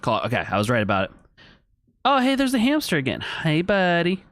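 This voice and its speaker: A man's voice: on the high side, wildly swooping pitch, a little fast, fairly bright tone, dry recording, studio quality. Streamer man thinking aloud while playing. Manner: thinks aloud, chats to viewers, reads on-screen text. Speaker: gaming streamer. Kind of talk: casual let's-play commentary.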